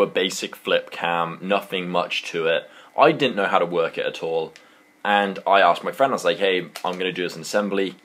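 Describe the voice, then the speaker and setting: Low-pitched young man, British accent, casual YouTube storytelling, rambling, slangy, animated. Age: young adult.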